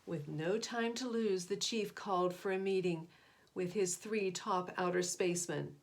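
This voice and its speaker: A woman's voice: fairly low pitch, a little slow. A woman narrating a children's picture book aloud in a dramatic, emphatic way. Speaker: storybook reader.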